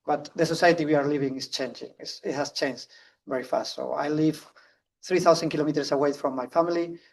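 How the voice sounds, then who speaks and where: A man from Spain with a strong Spanish accent, giving an earnest, fast-paced conference talk with frequent restarts. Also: on the high side, steady tone, vocal fry, far from the mic. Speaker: conference speaker. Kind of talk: public talk.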